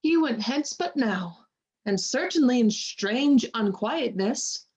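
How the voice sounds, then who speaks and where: A woman playing a worldly, cynical waiting-woman in a Shakespearean radio play, measured and knowing. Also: fairly high pitch, expressive, a little mellow, breathy edge, muffled recording, full character voice. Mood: grave, knowing.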